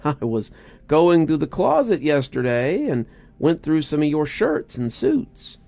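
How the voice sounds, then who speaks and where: A man's voice: fairly high pitch, big pitch swings, a little slow, vocal fry, warm tone, voice pushed up, heavily acted. A man voicing a grieving, desperately hopeful wife who coos and pleads in a quivering voice, as in a storybook reading. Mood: tender, pleading. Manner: cooing, pleading. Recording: music behind the voice.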